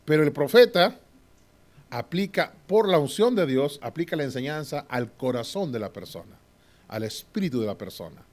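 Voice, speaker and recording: A man teaching a Bible class in a preaching style. He often asks the class to agree and moves between loud and hushed delivery. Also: on the high side, animated, moderate pace. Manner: swings from loud to hushed, with short laughs.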